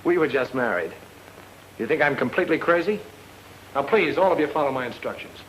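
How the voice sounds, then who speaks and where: An actor playing a tough, take-charge private detective with clipped, slangy, hard-boiled delivery in 1940s film noir style. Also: male voice, medium pitch, animated, brisk, a bit bright, a little hiss or hum, lightly acted, low-quality recording. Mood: brisk, commanding. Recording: old film soundtrack with background music.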